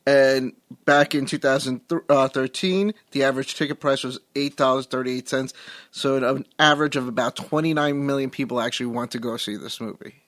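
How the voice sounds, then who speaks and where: A man's voice: mid-range voice, fairly even intonation, normal speed, a bit bright, no room sound. A man co-hosting a casual movie podcast, reading out budget figures plainly and steadily. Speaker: podcast co-host.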